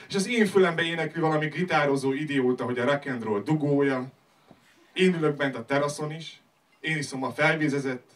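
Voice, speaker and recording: A man's voice: mid-range voice, a bit bright. A man performing slam poetry on a club stage in a declamatory, rhythmic delivery. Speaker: slam poet. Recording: live club recording, distant from mic.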